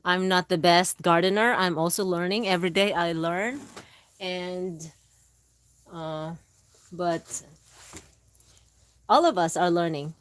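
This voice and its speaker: A woman hosting a casual live gardening stream, talking in a halting, chatty way with many restarts. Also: lowish voice, smooth. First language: Filipino language. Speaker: gardening channel host. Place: indoors at home.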